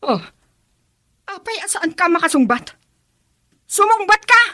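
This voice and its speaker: A woman's voice: very high voice, very animated, unhurried, pushed and bright, no room sound, heavily acted. A woman playing a stern, suspicious, domineering middle-aged mother in an Ilocano radio drama, with an insistent, scolding manner. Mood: angry, interrogating.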